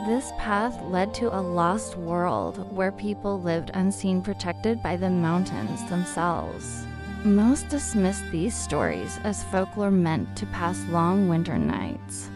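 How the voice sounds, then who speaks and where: A woman narrating a guided sleep story in a calm, soothing, unhurried manner. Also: on the low side. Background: background music.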